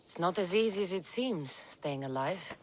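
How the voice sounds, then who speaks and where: An actress playing a tough young mercenary heroine in a video game cutscene, speaking bluntly and plainly. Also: female voice, lowish voice, expressive, normal speed, slight background noise, close to an everyday voice. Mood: earnest. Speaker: game character.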